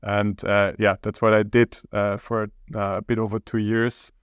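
A man from Austria, a startup co-founder talking as a podcast guest in a hesitant, halting way. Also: on the low side, flat delivery, smooth, no room sound. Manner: hesitant, halting, many pauses. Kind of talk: casual interview talk.

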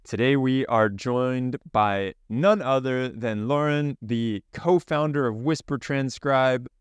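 Brisk male podcast host, smooth voice. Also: medium pitch, no room sound. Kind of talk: podcast interview.

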